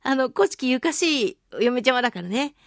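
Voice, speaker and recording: A woman hosting a solo radio talk show in a casual, playful and theatrical way, giggly and swooping between exclamations and low asides, close on a studio microphone. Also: animated, fairly quick, no room sound. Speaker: radio show host, voice actor.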